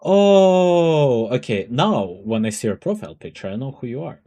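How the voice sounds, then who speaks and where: A man chatting casually to viewers as a live streamer, halting and thinking aloud as he goes. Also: mid-range voice, very animated, warm tone. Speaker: live streamer reading chat.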